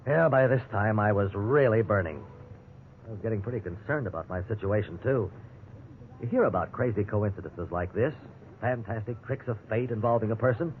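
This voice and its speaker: A man's voice: fairly low pitch, lightly acted. An actor playing an earnest, indignant ordinary man wrongly held by police, in 1950s radio drama style. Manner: earnest, aggrieved. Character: indignant, wrongly jailed ordinary man telling his story. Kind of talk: 1950s radio drama acting with first-person narration. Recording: tinny, noisy old radio recording.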